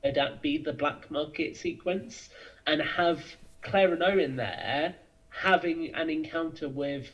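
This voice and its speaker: Higher-voiced man on a dull recording. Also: takes it slowly, a bit bright. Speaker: podcast guest. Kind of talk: casual interview chat.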